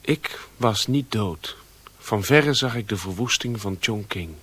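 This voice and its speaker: An actor playing a world-weary wandering man in a radio drama, giving a measured, poetic and reflective monologue. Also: lowish voice, lively intonation, fairly bright tone, slight background noise. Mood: haunted.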